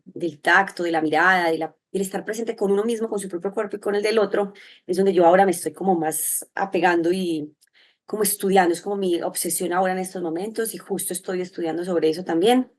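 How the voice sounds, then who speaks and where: A woman with a Medellín Colombian accent, answering in an interview in an expressive, reflective, rambling way. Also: fairly low pitch, fairly quick. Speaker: interview guest, sexologist and psychologist.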